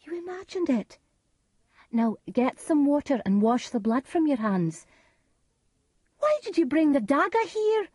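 A domineering noblewoman from a storybook, as a woman reader acts her. Her voice is high and loud, full of scorn and command, with ruthless force. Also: sing-song, unhurried, pauses often, no echo, clean studio sound. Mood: commanding, dismissive.

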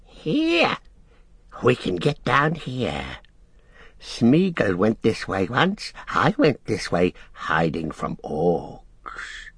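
A wretched, scheming little cave creature from a fantasy storybook. The voice is strained and croaking, swinging wildly in pitch. Words are stretched out extremely slowly in a gleeful, sing-song way, in a dry room. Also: male voice, wildly swooping pitch, a bit bright, no echo, full character voice. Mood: excited.

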